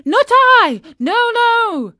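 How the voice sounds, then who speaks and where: A woman's voice: very high voice, very animated, takes it slowly, no room sound, studio quality, pitched above the performer's own voice, full character voice. A woman voicing a frightened, tearful young wooden puppet boy from a children's storybook, wailing and pleading. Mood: tearful panic.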